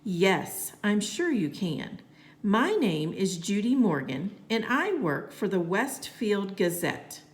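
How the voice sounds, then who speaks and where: Woman reading as polite, persistent lady reporter, lively pitch. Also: takes it slowly. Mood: polite, businesslike. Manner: polite, probing questions. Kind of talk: acted dialogue in a children's read-aloud.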